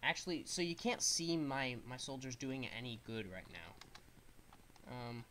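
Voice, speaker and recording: A man's voice: mid-range voice, lively intonation, measured pace, a bit bright, slight background noise. A young man with a stuffed-up nose casually commenting on a game he is playing, explaining the controls as he goes. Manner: rambling, explains controls as he plays. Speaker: gaming streamer.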